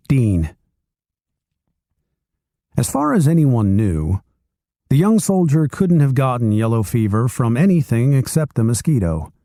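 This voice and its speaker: A man reading a nonfiction book aloud in calm, measured storytelling narration. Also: mid-range voice, no room sound, studio quality.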